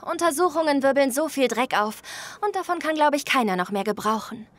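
A woman's voice: high-pitched, expressive, brisk. A young woman playing an assertive, defiant schoolgirl who stands up to authority in a German audio drama. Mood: firm, confronting. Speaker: actor. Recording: music under the voice.

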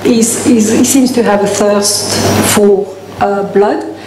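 A woman's voice: mid-range voice, steady tone, slow, reverberant, noisy background. A woman moderating a question session, speaking hesitantly with many fillers. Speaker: event moderator. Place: club function room, indoors.

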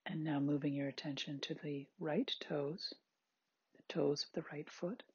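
A meditation guide with a husky, breathy, androgynous voice, giving gentle, unhurried body scan instructions in short spaced phrases. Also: medium pitch, steady tone, no room sound, dull audio. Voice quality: very husky, breathy, at times near-whispered.

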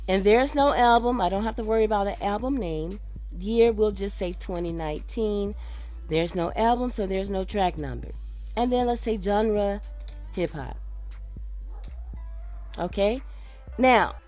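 A woman giving an informal, instructive software tutorial as a radio DJ, talking directly to her listeners. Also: mid-range voice, slow pace, long pauses, faint music behind. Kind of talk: casual screen-recorded tutorial. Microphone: voice-over for a screen recording.

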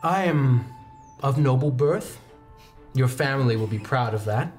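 A man's voice: mid-range voice, lively intonation, a little slow, darkish tone, soft background music, natural voice. A man acting a wealthy, self-important noble suitor who fancies himself a poet, in a pompous, affected manner.

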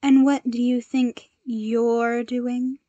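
Cocky male supervillain for an audio story, played by a woman: gleeful, sneering, drawn-out taunts, very dry recording. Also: a little high, little pitch movement, warm tone, dry recording, dull audio. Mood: sneering, taunting.

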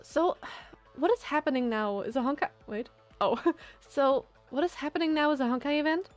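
Woman playing a fiery, high-pitched bossy young scientist. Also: moderate pace, faint music behind, close to an everyday voice. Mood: exasperated. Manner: indignant, emphatic.

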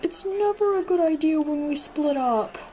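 A woman's voice: high voice, lively intonation, moderate pace, background noise, pitched above the performer's own voice. A woman voicing a chatty, polite young dog character searching for a friend, in a homemade toy-figure drama. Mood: flustered.

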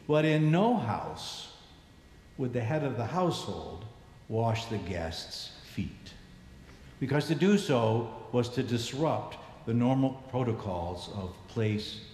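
A man's voice: a little mellow, slight background noise. Older man preaching in an echoey church. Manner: measured, reflective, dropping low at phrase ends. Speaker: bishop preaching. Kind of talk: sermon. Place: large church sanctuary, standing before the altar. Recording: echoey church with long reverb.

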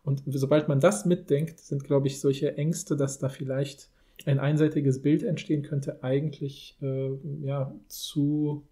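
This man has a very mellow voice that sits a little high for a male speaker. He talks as a podcast host in casual analytical discussion. His sentences are long and winding as he thinks aloud. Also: level tone, measured pace.

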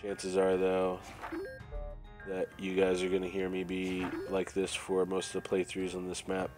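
A man commentating casually while playing a prison game, his voice raspy and nasal from a cold, thinking aloud as he plays. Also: deep voice, monotone, measured pace. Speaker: gameplay commentator. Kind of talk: casual let's-play commentary. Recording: faint game music behind.

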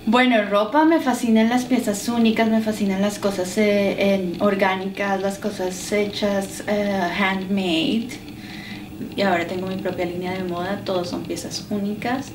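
A woman in her mid-thirties with a Colombian accent answers interview questions about herself, pausing between short phrases. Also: mid-range voice. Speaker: artist and designer being interviewed. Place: indoors in a penthouse. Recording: roomy, distant mic, clear background noise.

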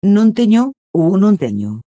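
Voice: mid-range voice, sing-song, a little slow, dark tone, no echo. A speaker reading short phrase prompts for a language lesson, in brief clipped lines. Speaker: prompt reader.

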